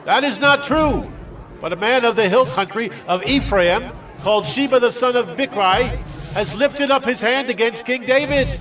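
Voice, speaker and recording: Man acting high-pitched, emphatic army commander. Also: normal speed, a bit bright. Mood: indignant, insistent. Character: forceful male army commander, indignant, protesting. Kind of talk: dramatized scripture acting.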